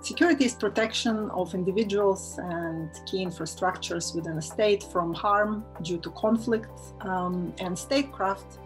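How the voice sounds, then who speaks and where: Woman professor from Israel, measured research talk with fillers, faint music underneath. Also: medium pitch, poor audio. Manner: measured, with frequent filler pauses. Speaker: assistant professor. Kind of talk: interview-style talk about research.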